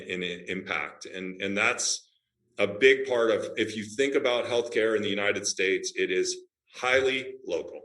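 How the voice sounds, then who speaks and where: Deep-voiced man, executive guest. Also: fairly bright tone, reverberant. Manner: measured, businesslike, many fillers and restarts. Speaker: healthcare company CEO, guest. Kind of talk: interview answers.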